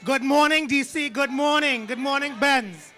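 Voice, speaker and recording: A man's voice: very high voice, normal speed, bright, forceful tone. A man with a Trinidadian accent, an ambassador, giving a stately ceremonial speech outdoors. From Trinidad and Tobago. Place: outdoors on a city street.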